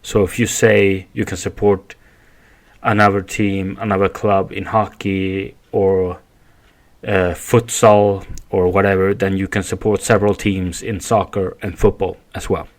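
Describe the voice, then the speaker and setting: A man from Sweden with a Swedish accent, speaking English as a second language in a rambling, halting solo podcast monologue. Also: deep voice, level tone. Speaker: podcast host.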